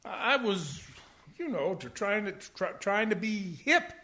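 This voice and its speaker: A man's voice: sits high, very animated, measured pace, bright, forceful tone, full character voice. An actor playing an eager, blustering jazz musician father who talks in hip slang in a comic radio play. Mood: flustered. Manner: hip jazz slang, stammers when flustered.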